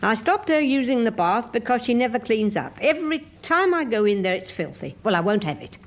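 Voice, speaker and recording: Shrill-pitched nagging British wife. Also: female voice, sing-song, fairly quick. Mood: scornful. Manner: querulous, scornful. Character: sharp, nagging, jealous wife. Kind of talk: radio drama acting.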